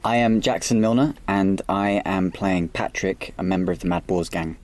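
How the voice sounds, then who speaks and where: A man's voice: low voice, steady tone, moderate pace, a little mellow. A man, an actor talking casually in an interview about his work.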